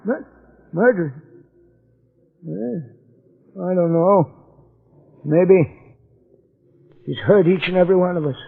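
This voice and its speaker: A man's voice: sits high, takes it slowly, gravelly, long pauses, husky, exaggerated voice. An actor playing a sick, bitter, hot-tempered man in an old-time radio drama, querulous and indignant. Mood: bitter, suspicious. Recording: muffled, phone-like old radio recording.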